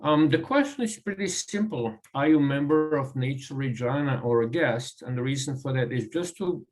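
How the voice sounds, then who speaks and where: A man from Croatia with a Croatian accent, a guest speaker giving a presentation over a video call, pausing often with ums and uhs. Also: medium pitch. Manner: halting, with frequent ums and uhs. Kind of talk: online presentation.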